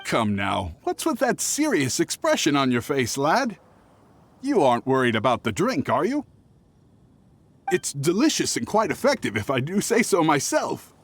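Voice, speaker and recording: An actor playing a jovial, coaxing older drink vendor in a video game, with a husky voice and a hearty, theatrical manner. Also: male voice, fairly high pitch, wildly swooping pitch, normal speed, slight background noise.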